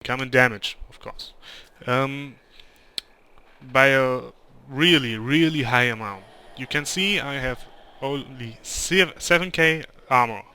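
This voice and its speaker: A man's voice: medium pitch, slow pace, bright, forceful tone, clean tone. A young German man with a German accent, speaking English as a second language, giving hesitant gameplay commentary. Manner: hesitant, many ums and restarts. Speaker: gamer.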